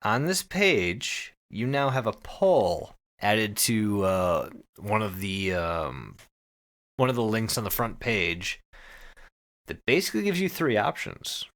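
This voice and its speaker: A man hosting a podcast, giving a casual, profanity-laced story recap to his co-hosts in an animated way. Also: lowish voice, takes it slowly. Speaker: podcast host.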